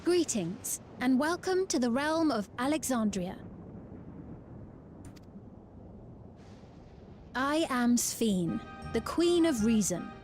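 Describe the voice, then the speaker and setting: An actress playing a gracious, soft-spoken young queen in a video game, with formal, measured, courteous diction. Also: on the high side, animated, faint music behind. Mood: courteous, composed. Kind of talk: scripted video game voice acting.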